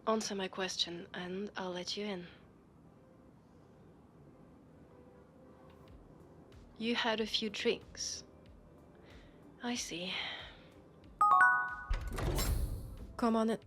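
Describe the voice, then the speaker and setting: A woman with a French accent voicing a sharp, scolding but caring young policewoman in an intimate sci-fi roleplay audio drama. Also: mid-range voice, steady tone, measured pace, slight background noise, lightly acted. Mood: wary, concerned.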